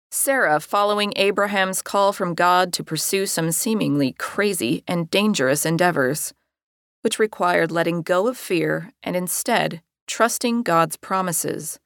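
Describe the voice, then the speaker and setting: A woman's voice: mid-range voice, fairly bright tone, dry recording, clean studio sound. A woman narrating her own nonfiction audiobook in a wry, conversational way.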